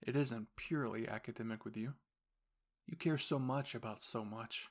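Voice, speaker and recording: A man's voice: medium pitch, close to an everyday voice. A man voicing a composed, formal young university student and caring boyfriend, speaking intimately and reassuringly to the listener in an audio roleplay. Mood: quietly affectionate. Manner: measured, formal, gently reassuring phrasing.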